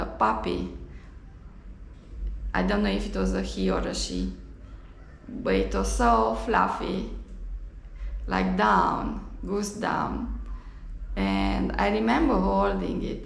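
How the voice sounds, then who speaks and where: A woman from Romania with a Romanian accent tells a personal story in a halting, reflective way, recorded on an action camera in a roomy space. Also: medium pitch, vocal fry. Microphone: GoPro camera. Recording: roomy echo, faint background noise.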